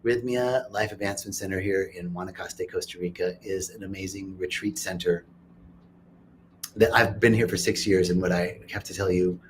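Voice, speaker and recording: A man hosting a casual interview at a retreat center, upbeat and joking and quick to laugh. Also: deep voice, a little hiss or hum. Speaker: host. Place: retreat center in Costa Rica.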